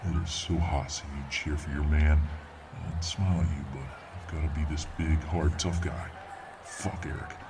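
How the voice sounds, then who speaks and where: A man playing a dominant, cocky fireman boyfriend in an ASMR roleplay, a tough, devoted muscleman with a gravelly, swaggering, grunting delivery. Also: a bass voice, far from the mic, noisy background. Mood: cocky, pumped. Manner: breathy grunting, swaggering, drawn-out exertion. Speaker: audio roleplay performer.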